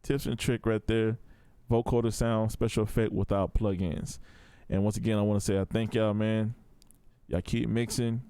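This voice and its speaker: A man's voice: fairly low pitch, flat delivery, moderate pace, mellow, clear, resonant tone, no room sound. A man giving a casual, step-by-step tutorial in a laid-back, informal way. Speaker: audio production tutor.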